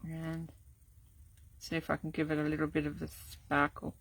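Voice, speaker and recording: An older woman, chatting casually through a live card-making demo, rambling with many ums and restarts, her voice going croaky. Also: low voice, monotone, normal speed. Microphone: camera set up at desk.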